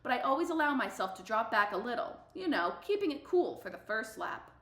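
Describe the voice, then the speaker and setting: A sassy middle-school girl runner, voiced by a woman, tells her own story in a storybook read-aloud. She is fiercely competitive and emphatic, with slangy phrasing. The voice sits fairly high and rings in an echoey room. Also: sing-song, brisk, fairly bright tone. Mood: sassy, confiding. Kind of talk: expressive audiobook-style read-aloud.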